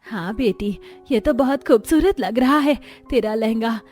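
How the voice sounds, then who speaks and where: A woman's voice: on the high side, unhurried, a little mellow, soft background music, natural voice. A woman voicing an approving, encouraging middle-aged mother in a cartoon story. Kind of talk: Hindi cartoon story dialogue.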